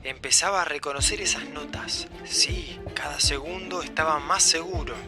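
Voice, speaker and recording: A man's voice: a little high, normal speed. A man with a Latin American accent narrating a scripted comedic story as a podcast host. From Argentina. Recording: narration over music bed.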